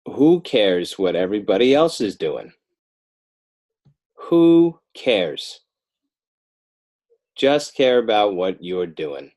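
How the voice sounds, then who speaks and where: Casting: American male, low voice, children's author guesting on a podcast, conversational. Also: a little mellow. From Northern New Jersey, United States. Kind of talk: casual podcast interview, plugging work.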